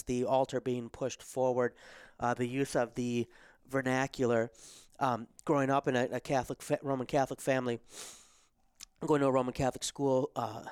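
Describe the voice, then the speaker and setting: A man hosting a podcast and giving a solo theology lecture, a bit hoarse from losing his voice, hesitant with ums and restarts and frequent throat clearing. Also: mid-range voice, no echo, studio quality. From America. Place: studio.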